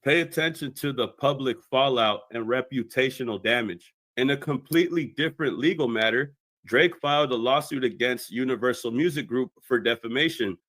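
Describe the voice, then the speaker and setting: A man's voice: mid-range voice, normal speed, few pauses, a bit bright, no room sound. Man giving dry-recorded scripted commentary. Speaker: video commentator.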